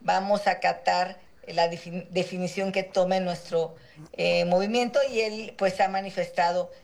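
A middle-aged woman politician, speaking in a slow, deliberate way. She often pauses on fillers while she gathers her answer, in the tone of a steady interview reply. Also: lowish voice, vocal fry. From Guerrero, Mexico. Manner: slow and measured, with frequent "eh" and "pues" fillers. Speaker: senator and political candidate, interviewee.

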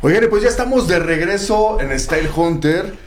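A man's voice: high voice, expressive, moderate pace, slight background noise. A man hosting a radio talk show, chatting casually and interviewing guests.

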